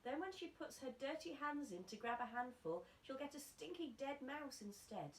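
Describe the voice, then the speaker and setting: A woman voicing excited, scheming young schoolboys from a children's storybook, eager and exclaiming. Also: high-pitched, moderate pace, voice pushed up. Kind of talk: children's storybook read-aloud. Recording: roomy echo, background noise.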